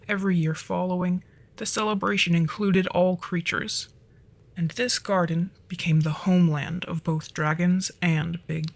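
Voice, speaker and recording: Flirty, easily flustered dragon for ASMR roleplay acted by a performer of unclear sex; soft, breathy, mid-pitched with a crackly edge. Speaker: storyteller in an audio roleplay.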